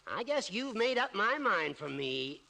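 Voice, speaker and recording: An actor playing a crotchety, spiteful old judge with a creaky, bleating voice in 1940s radio comedy. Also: male voice, sits high, sing-song, a little slow, sharp tone, full character voice. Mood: agreeable.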